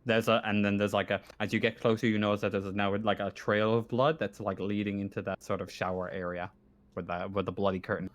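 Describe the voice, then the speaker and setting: A man narrating a tabletop roleplaying game as game master in a halting, conversational, improvised way. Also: on the low side, level tone. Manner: halting, conversational, repeats phrases.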